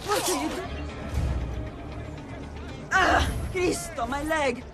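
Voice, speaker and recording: A woman's voice: high voice, slow. An actress playing a brash, impatient, wounded young female thief with an Italian accent in a video game. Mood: urgent, defiant. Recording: music behind the voice.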